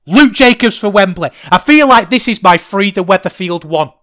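Manchester bloke, middle-aged podcast host, slightly high voice, rambling and fired up. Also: male voice, moderate pace. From England. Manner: enthusiastic, rambling, gets loud when excited. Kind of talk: casual solo podcast chat.

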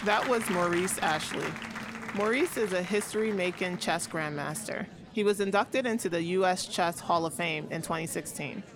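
A woman hosting a podcast, introducing a guest and then asking him questions in a calm, measured way. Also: on the low side, a crowd in the background, background noise. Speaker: podcast host and interviewer.

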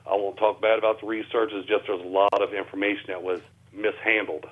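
A man's voice: lowish voice, level tone, fairly quick, a bit bright. A man with a folksy, ungrammatical way of talking, answering as a guest researcher in a radio interview over the telephone. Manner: folksy, ungrammatical plain speech. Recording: tinny phone line.

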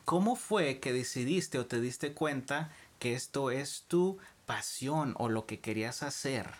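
Male host, mid pitch, rephrases his questions. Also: expressive, measured pace. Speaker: interviewer. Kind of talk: casual interview conversation.

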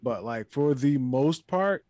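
A man's voice: medium pitch, lively intonation, slow pace, darkish tone, no echo. Guy rambling in a podcast chat, lots of "like" and restarts, dry close mic. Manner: rambling, hedging, many restarts. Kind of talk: casual chat.

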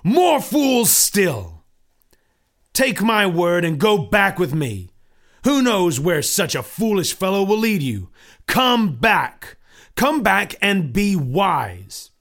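A man's voice: a little high, big pitch swings, a little slow, pitched above the performer's own voice. A man voicing a stubborn, scornful male neighbor who blusters and mocks.

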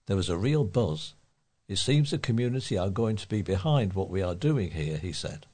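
A man with a fairly low voice reads community news from a written article. He works as a volunteer reader, delivering the scripted report aloud. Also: animated, normal speed, darkish tone, husky. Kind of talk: read-aloud local newspaper report.

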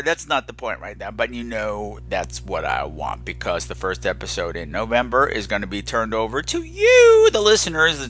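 A man's voice: medium pitch, pushed and bright. A man hosting a podcast solo in a casual, chatty, campy and playfully dramatic way, rambling and self-deprecating. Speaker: podcast host.

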